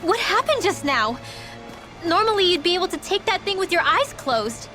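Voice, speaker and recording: A woman's voice: very high voice, big pitch swings, moderate pace, bright, forceful tone, faint music behind, heavily acted. A woman playing an earnest, chatty teenage girl companion in a fantasy game, casual and concerned. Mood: concerned, relieved. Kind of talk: video game voice acting.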